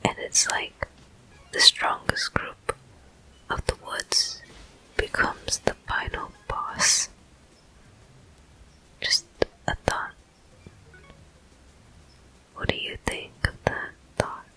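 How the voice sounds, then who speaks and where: A person whispering ASMR game commentary as a walkthrough host, in slow, unhurried phrases with pauses, close to the microphone. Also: pushed and bright, low-quality recording.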